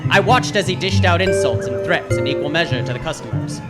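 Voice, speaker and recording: An actor playing a hard-boiled, wisecracking detective who narrates and talks with dry wit in a live old-time radio noir. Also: male voice, on the high side, fairly quick, loud music behind the voice, natural voice. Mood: wry narration. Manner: dry, deadpan, wry.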